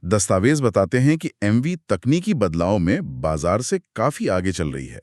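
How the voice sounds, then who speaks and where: A man's voice: lowish voice, very animated, normal speed, a little mellow, no echo, clean studio sound. A man with an Indian accent co-hosting a conversational podcast discussion, inquisitive and emphatic as he questions and sums up. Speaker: co-host asking questions.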